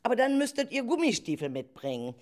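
A woman's voice: fairly high pitch, sing-song, fast, darkish tone. A woman playing an enthusiastic leader of a group of schoolchildren in an amateur radio play, talking to them in simple, encouraging phrases.

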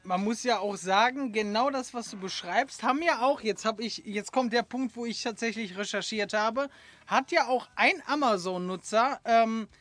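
A man's voice: high-pitched, moderate pace, fairly bright tone, soft background music. A man hosting a casual podcast, chatting in a playful, rambling way. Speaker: podcast host.

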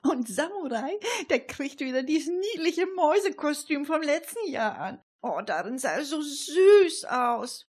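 A woman's voice: high-pitched, very animated, unhurried, hardly any pauses, darkish tone. A woman playing a sociable, playful older hobby detective in an amateur radio crime play, full of chummy exclamations. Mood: doting.

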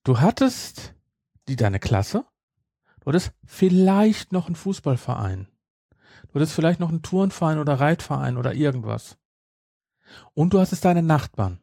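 A man's voice: on the high side, sing-song, moderate pace, creaky, long pauses, mellow, no echo, studio quality. A middle-aged man co-hosting a podcast in casual, amused banter, often rephrasing as he goes. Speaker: podcast co-host. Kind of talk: casual banter about an audio drama series.

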